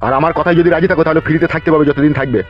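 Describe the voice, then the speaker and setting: A man's voice: a little high, fairly even intonation, rapid-fire, natural voice. A man playing a pushy, lecherous landlord in a comic cartoon, taunting and crude as he fires off questions. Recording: dull lo-fi track with music.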